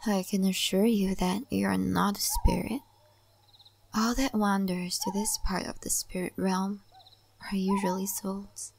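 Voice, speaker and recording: A woman playing an ancient, wise and cryptic spirit-realm lady in a soft, breathy ASMR roleplay. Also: medium pitch, fairly even intonation, a little hiss or hum. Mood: calm, mysterious. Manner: soft, breathy, often whispering, unhurried.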